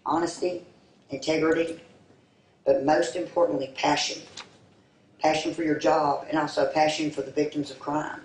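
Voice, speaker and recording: A man giving an earnest campaign speech in a community hall. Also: on the high side. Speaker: political candidate. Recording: echoey hall from far off, faint hiss.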